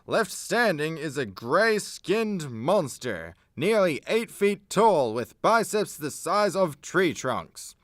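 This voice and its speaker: A man's voice: a little high, very animated, slow pace, few pauses, a bit bright, no echo, studio quality. A man reading scripted narration as the narrator of a comedy radio play.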